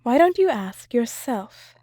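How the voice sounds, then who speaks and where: Casting: female actor as sly enchantress for fantasy audio drama; soft dark tone, fairly high, dry sound. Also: very animated, slow, no echo, heavily acted. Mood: taunting.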